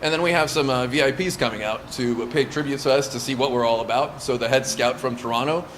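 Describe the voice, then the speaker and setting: A man's voice: mid-range voice, fast talker, fairly bright tone. Man, Lithuanian as first language, casual interview talk, mid-range voice, faint noise behind. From Southern California. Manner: eager, with restarts and light laughs. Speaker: scout camp organizer.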